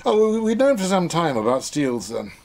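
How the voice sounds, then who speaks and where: An actor playing an urbane, superior elder brother in a radio drama, dry in manner, with a British accent. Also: male voice, fairly high pitch, big pitch swings, fairly quick, a little mellow. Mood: dry, unruffled.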